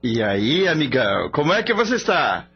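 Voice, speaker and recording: A man playing a gentle, easygoing young veterinarian and devoted son in a radio soap opera, with a husky voice. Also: medium pitch, big pitch swings, moderate pace, exaggerated voice. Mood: playful. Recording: dull lo-fi audio with music behind.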